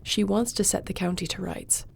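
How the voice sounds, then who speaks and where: A woman playing a loyal young sorceress who advises a king, speaking in a measured, reassuring way. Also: mid-range voice, steady tone, creaky, slight background noise. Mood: gentle. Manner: measured, reassuring, reads reports aloud. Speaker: audio roleplay performer. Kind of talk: fantasy audio roleplay.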